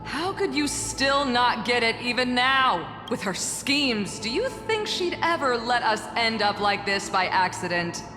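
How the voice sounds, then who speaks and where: A woman's voice: on the high side, expressive, measured pace, hardly any pauses. An actress playing a proud, defiant woman warrior in a video game, dramatic and scornful in delivery. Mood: furious, scornful. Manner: dramatic, scornful, swinging from shouts to hisses. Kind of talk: scripted video game voice acting. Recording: echoey hall reverb under music.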